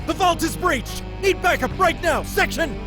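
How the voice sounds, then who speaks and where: A man's voice: extremely high pitch, animated, moderate pace, music in the background, pitched above the performer's own voice. A man voicing a furious, panicked man in a visual novel action scene.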